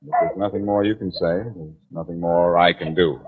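An actor playing a cool, quick-witted detective in a 1940s radio drama, clipped, wisecracking and urgent. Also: male voice, sits low, steady tone, phone-quality sound, close to an everyday voice. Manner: clipped, wisecracking, hard-boiled.

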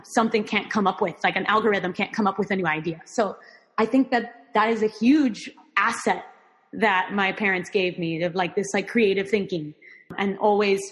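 A woman's voice: medium pitch, in a large hall. A woman who grew up in Miami with Spanish as her first language, a guest talking casually and thoughtfully about herself, with frequent restarts. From Miami, Florida, US. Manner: thoughtful, restarts often, warm laughs. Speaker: guest, performing artist. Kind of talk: casual interview talk.

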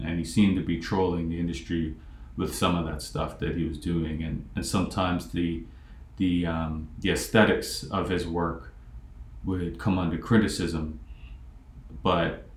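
A man in his forties hosting an interview podcast, talking reflectively with frequent pauses and fillers. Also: deep voice. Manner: reflective, halting, many fillers. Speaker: podcast host. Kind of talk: casual interview talk. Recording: echoey room.

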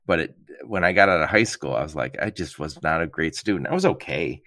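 A man hosting an interview podcast, joking and chiming in with quick asides. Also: very deep voice, lively intonation, pushed and bright, no echo, studio quality. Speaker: podcast host. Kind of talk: casual interview conversation.

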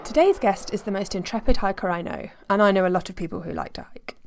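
A woman's voice: medium pitch, fast talker, loud music behind the voice. A woman hosting a travel podcast, reading a scripted welcome and then interviewing her guest with short, friendly questions. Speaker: podcast host.

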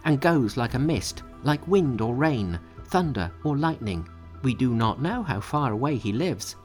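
A man's voice: medium pitch, very animated, a little slow, soft background music, voice pushed up. Small nervous storybook imps, slightly raised voice, anxious, as a man puts them on. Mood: worried. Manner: anxious, reporting. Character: timid small monkeys and imps, a group. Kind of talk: storybook reading.

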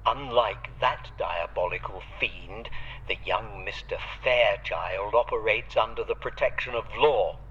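Over a dull, hissy radio link comes a loyal butler, acted by a man, formal and dryly sardonic. His voice is fairly low yet bright and crisp-edged, protective beneath the wit. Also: unhurried. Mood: dry, wry. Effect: radio filter. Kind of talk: audio drama acting. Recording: band-limited radio link with noisy hiss.

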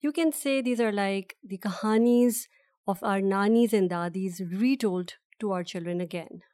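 A woman from Pakistan, a guest on a podcast, who makes a children's podcast and speaks in a measured way while teaching Urdu phrases. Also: mid-range voice, a little mellow, dry recording, studio quality. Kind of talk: interview and language teaching.

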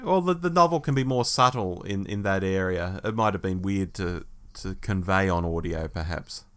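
A man co-hosting a podcast in casual discussion, speaking in a measured, agreeable and slightly tentative way. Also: sits low, fairly bright tone, smooth. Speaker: podcast co-host.

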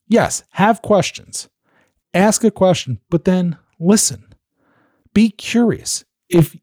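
A man gives animated interviewing advice in a fairly high voice, slipping into little acted-out voices as he explains. He coaches podcast hosts. His voice sounds dry and close, with no room echo. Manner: animated, acts out quoted voices.

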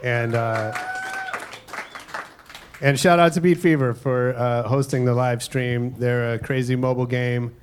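A man's voice: mid-range voice, fairly even intonation, unhurried, long pauses, smooth, some chatter behind. A man hosting a live podcast at a hotel event, casual and joking, with lots of ums and uhs. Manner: casual, filler-heavy, joking. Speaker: podcast host.

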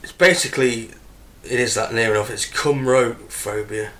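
A man's voice: mid-range voice, normal speed, a bit bright. Matter-of-fact man reading out trivia. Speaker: podcast co-host.

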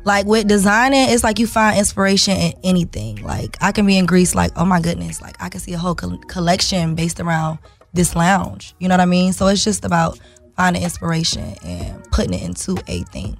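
A raspy-voiced young woman, a guest rapper, chatting casually in an interview and trailing off with lots of "like"s. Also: fairly low pitch, fairly quick, soft background music. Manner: relaxed, trailing off with many "like"s.

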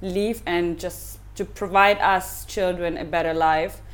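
Woman, thirties, non-native English, lowish voice, halting casual chat. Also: steady tone. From Ukraine, raised in Germany. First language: not English. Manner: hesitant, searching for English words, with many fillers. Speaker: podcast guest.

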